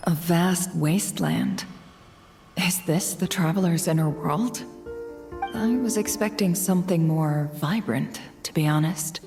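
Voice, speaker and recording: An actress playing a cool, self-assured, shrewd woman mystic in a game, composed, dry and deliberate. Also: on the low side, a little mellow, soft background music. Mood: intent, curious. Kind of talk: dramatic game voice acting. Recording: long reverberant echo.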